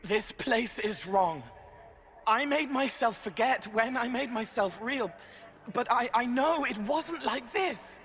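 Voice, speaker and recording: An actor playing an anxious young spirit made human in a fantasy game, speaking in a halting, fragile way. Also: male voice, very high voice, expressive, a bit bright, full character voice. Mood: frightened distress. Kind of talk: dramatic fantasy game acting.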